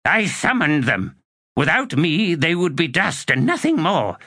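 Man doing a raspy contemptuous ancient sorceress. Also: a little high, big pitch swings, unhurried, sharp tone, no echo, clean studio sound, pitched above the performer's own voice, heavily acted.

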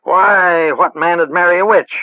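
Old country witch, voiced by a man: rustic, chanting, high and lilting; dry, tinny line. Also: moderate pace, no echo, close to an everyday voice. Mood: musing. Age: older. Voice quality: rustic dialect, sing-song and chanting. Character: old female village witch, rustic and earthy.

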